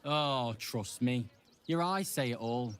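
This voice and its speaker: A man's voice: mid-range voice, takes it slowly, clear, resonant tone, natural voice. A man voicing a fussy, self-satisfied farmer who dotes on his dog in a video game. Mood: doting, defensive. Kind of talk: video game character dialogue. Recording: music behind the voice.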